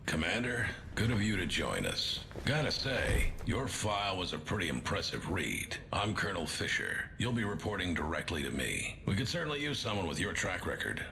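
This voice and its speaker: An actor playing a blunt, commanding and wary army colonel in a video game briefing. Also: male voice, low-pitched, sing-song, normal speed, vocal fry, few pauses, bright, forceful tone, a little hiss or hum, exaggerated voice. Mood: brisk, welcoming.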